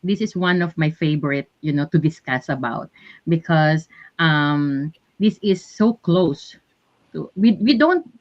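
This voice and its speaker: A woman's voice: sits low, lively intonation, measured pace. Bubbly woman hosting a livestream. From Philippines. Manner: bubbly, laughs easily, leans on "you know". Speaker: host. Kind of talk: livestream panel chat. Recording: dull lo-fi call audio.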